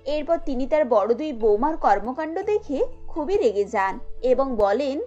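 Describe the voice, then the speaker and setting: A woman's voice: sits high, lively intonation, unhurried, dark tone. A woman giving scripted narration for a cartoon story. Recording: dull lo-fi audio with music bed.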